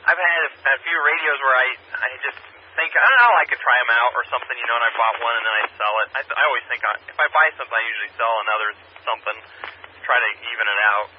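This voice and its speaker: Muffled man rambling over noisy ham radio. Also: medium pitch. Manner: rambling, hesitant, halting. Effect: two-way radio transmission. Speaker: amateur radio operator. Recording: muffled, noisy radio repeater picked up by scanner.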